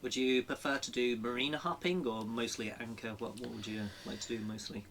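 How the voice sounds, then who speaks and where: Quick-talking man interviewer. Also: medium pitch, fairly even intonation, a bit bright. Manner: brief interjections and backchannels. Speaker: interviewer, channel host. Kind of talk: casual interview.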